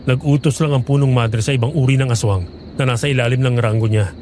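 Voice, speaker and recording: A man's voice: medium pitch, fairly even intonation, fast, mellow, slight background noise, close to an everyday voice. Man acting serious, knowing young monster hunter. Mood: grave, resolute. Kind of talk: Filipino horror audio drama dialogue.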